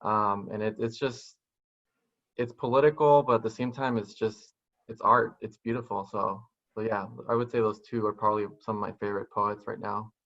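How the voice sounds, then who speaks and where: Soft-spoken man on a dull video call. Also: on the low side, steady tone, moderate pace. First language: Spanish. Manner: soft-spoken, thoughtful, many restarts. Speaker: guest poet. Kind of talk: casual interview answers. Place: small home room, indoors. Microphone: video call webcam.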